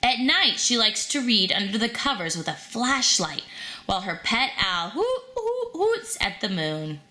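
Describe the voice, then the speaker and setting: A woman reading a children's storybook aloud in an animated, expressive storytelling manner. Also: fairly high pitch, a little slow. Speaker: storybook reader.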